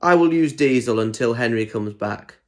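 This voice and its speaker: A man's voice: mid-range voice, lively intonation, moderate pace, natural voice. A man voicing a stern male railway boss in a children's storybook, speaking with authority and giving orders. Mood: businesslike.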